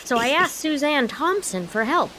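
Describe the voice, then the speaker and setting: An actress playing an exasperated grown daughter with dry comebacks in a comedic audio play. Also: female voice, high voice, very animated, normal speed, fairly bright tone, slight background noise. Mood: wry, softer.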